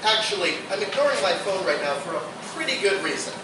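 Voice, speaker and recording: A man's voice: high voice, big pitch swings, fast talker. A man playing a blunt, impatient college art student with a dry delivery in live radio theatre. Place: live stage performance in echoey room. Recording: very echoey hall, distant mic, noisy.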